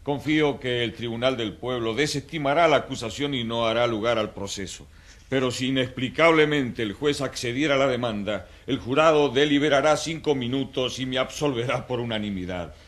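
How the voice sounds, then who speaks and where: An actor playing a smug, combative mayor in a radio drama, self-assured, dismissive and declamatory. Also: male voice, medium pitch, fairly quick, natural voice. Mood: confident. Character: smug, combative male city mayor waging war on slums. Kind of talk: radio drama acting, as interviewed politician.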